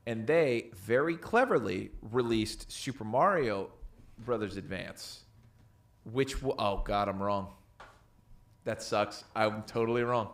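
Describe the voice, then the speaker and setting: A man's voice: on the low side, slow pace. A man co-hosting a podcast, talking casually and emphatically, repeating words to drive opinions home. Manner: emphatic, repetitive for effect. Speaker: podcast co-host. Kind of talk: casual opinionated chat about games.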